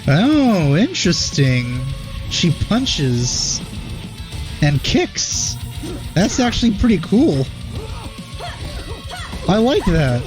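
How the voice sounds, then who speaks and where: A man giving casual reaction commentary as a video host, in a hesitant, low-key manner. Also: big pitch swings, extremely slow, pauses often, rough recording. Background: game trailer music.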